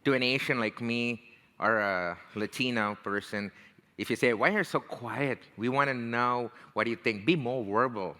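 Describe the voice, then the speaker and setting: A man's voice: medium pitch, moderate pace, pushed and bright, big-room sound. A man from India with an Indian accent, giving a conversational talk and often checking in with "right?" and "you know". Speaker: speaker. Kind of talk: motivational talk.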